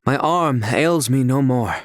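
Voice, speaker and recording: An actor voicing an earnest, stern young knight in a video game, with formal, archaic and stiffly proper diction. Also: male voice, medium pitch, lively intonation, measured pace, no room sound, clean studio sound, lightly acted. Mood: earnest, devoted.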